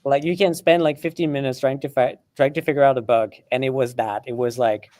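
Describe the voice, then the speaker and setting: A man's voice: medium pitch, fairly even intonation, fairly quick, a little mellow, no echo. A man whose first language is not English, co-hosting a live coding stream and explaining chattily with frequent restarts and fillers. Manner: chatty, many restarts and fillers, laughs often. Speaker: co-host.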